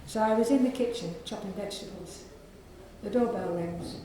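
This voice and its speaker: A woman with a soft, croaky voice, an author reading aloud from her novel to an audience in a library. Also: medium pitch, very echoey, slight background noise, low-quality recording. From England. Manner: measured reading with clearing of the throat.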